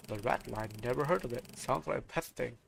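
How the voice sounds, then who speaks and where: A curt, sarcastic male detective, read aloud by a man in a gravelly voice. He sounds woken in the dead of night and speaks low and dry. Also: fairly quick, a bit bright, slight background noise. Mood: gruff. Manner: curt, dry, reading game dialogue aloud.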